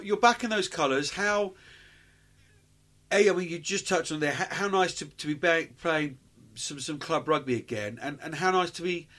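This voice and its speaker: A man's voice: fairly high pitch, fairly bright tone. A man hosting a sports interview, chatting freely and often restarting his sentences. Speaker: interview host.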